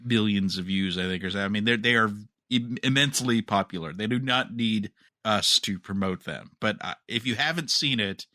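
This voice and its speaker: A man co-hosting a fan podcast, talking casually and a bit haltingly as he thinks aloud. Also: fairly low pitch, bright, forceful tone, no echo, studio quality. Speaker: podcast co-host.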